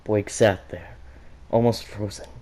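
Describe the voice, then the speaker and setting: Male amateur narrator, low voice, mellow, halting storybook read with stumbles. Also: fairly even intonation. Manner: halting, stumbles and breaks off to correct himself. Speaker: fan fiction reader for a gaming channel.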